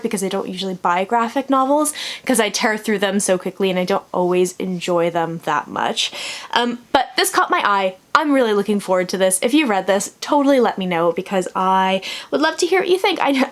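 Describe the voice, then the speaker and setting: A woman book vlogger chatting casually to her viewers in a rambling, informal way, prone to laughing as she talks. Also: medium pitch. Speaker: book vlogger and podcast co-host. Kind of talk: casual chat to camera in a reading vlog.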